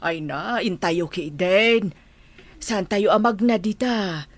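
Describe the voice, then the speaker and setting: A woman's voice: medium pitch, takes it slowly, dry recording. A woman with an Ilocano accent acting a motherly, bossy neighbor in a radio drama. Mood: brisk, motherly.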